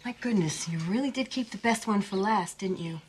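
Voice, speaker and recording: An actress plays a middle-aged suburban woman in a film, genteel and breezy even when the subject is grisly. Her menace is understated and matter-of-fact, delivered at a medium pitch. There is faint noise in the recording. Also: fairly quick, lightly acted. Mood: politely condescending. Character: genteel, cheerful suburban woman calmly planning to cook a boy.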